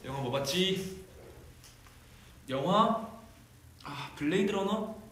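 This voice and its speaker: A man's voice: high-pitched, lively intonation, takes it slowly, fairly bright tone. A young man chatting casually on a livestream from a decorated room, musing aloud, answering fan comments and laughing often. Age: young adult. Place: decorated room, indoors, at a table. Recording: very echoey room, faint background hiss.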